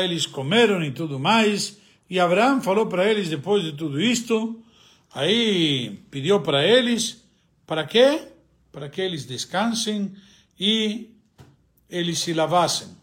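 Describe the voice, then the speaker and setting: A man with an Argentine accent, a native Spanish speaker, gives a religious lesson, asking and answering his own questions with frequent hesitations. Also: high voice. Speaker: rabbi teaching the weekly Torah portion. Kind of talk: religious teaching talk.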